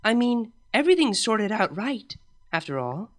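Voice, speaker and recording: A woman's voice: mid-range voice, very animated, normal speed, a bit bright, voice pushed up. A woman voicing a young pony princess in a comic storybook, reassuring in a hesitant, casual way. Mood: consoling. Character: soothing, tactless young pony princess, gently consoling.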